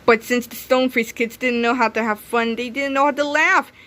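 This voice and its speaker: A woman's voice: on the high side, steady tone, fairly quick, fairly bright tone. A woman reading a children's comic book aloud expressively, sometimes stumbling over words. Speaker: read-aloud storyteller.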